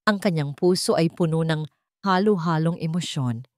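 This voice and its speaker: A woman with a Filipino accent narrates a dramatic scripted story with emotive, deliberate phrasing. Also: deep voice, no echo, studio quality.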